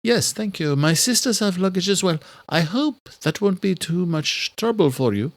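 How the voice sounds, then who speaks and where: A man's voice: high voice, expressive, moderate pace, no room sound, pitched above the performer's own voice. A man voicing a refined, commanding married duchess with a proper, ladylike manner in an audiobook thriller. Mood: polite, welcoming.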